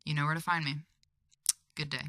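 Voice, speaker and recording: A woman voicing a composed, scheming Baron in an audio drama, speaking formally and deliberately with polite menace. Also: low-pitched, level tone, lightly acted. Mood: coolly scheming. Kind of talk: audio drama acting, voicemail message.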